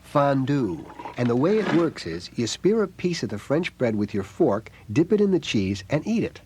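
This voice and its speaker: A man's voice: mid-range voice, sing-song, normal speed, mellow, slight background noise, close to an everyday voice. A man playing a kindly, genial divorced father in an audio drama, fatherly and encouraging in manner. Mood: playful.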